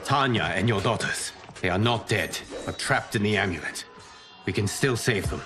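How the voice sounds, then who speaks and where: Actor as a low-voiced, earnest former god. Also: male voice, lively intonation, normal speed, natural voice. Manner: grave, formal. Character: humble, wise former god, protective and earnest. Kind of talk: dramatic video game acting. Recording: loud cinematic music behind.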